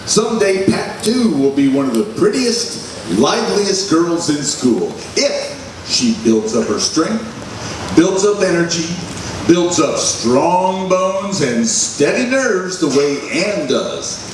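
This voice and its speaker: A man's voice: fairly high pitch, big pitch swings, slow pace. A man reading a scripted commercial as an announcer in 1940s radio style. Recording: echoey hall, distant mic, faint noise.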